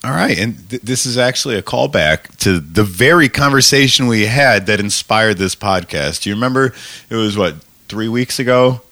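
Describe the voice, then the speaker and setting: An American man in his thirties talks as a podcast co-host. His voice is bright and forward, with a wry, joking way of putting things. It is recorded close and dry, in relaxed conversational banter. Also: on the low side, normal speed, no room sound. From United States, Connecticut. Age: 30s. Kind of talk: casual banter about the news.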